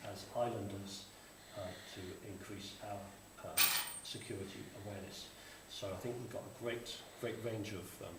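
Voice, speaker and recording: A low-voiced man chairs a panel discussion, pausing often and restarting his sentences. He sounds distant from the mic in a roomy hall, with clear background noise. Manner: hesitant, many ums and restarts. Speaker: panel moderator. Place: conference hall at a tech fair. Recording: echoey hall from far off, noisy.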